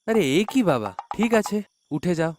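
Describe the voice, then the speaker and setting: A man's voice: high voice, big pitch swings, measured pace, noisy background. A man playing a jumpy, superstitious autorickshaw driver in a folktale, exclamatory and given to muttering to himself. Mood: puzzled, practical.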